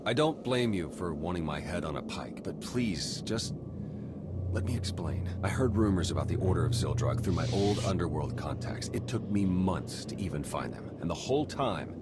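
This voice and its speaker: An actor playing an earnest, weary double agent pleading for trust in a video game. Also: male voice, low-pitched, lively intonation, moderate pace, over loud music. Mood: pleading, regretful. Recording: loud noise and ambience behind.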